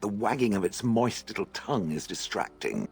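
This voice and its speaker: A man's voice: on the low side, sing-song, normal speed, vocal fry, music in the background. A man voicing a haughty, sardonic stone golem in a game, talking down with dry mockery. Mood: disdainful. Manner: dry, condescending, mocking. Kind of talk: game dialogue.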